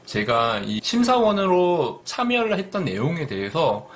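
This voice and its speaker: A man's voice: high voice, big pitch swings, measured pace, a little hiss or hum. A middle-aged man from Busan with a light Busan accent, giving direct, earnest business advice in a vlog. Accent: light Busan dialect. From Busan, South Korea. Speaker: business YouTuber.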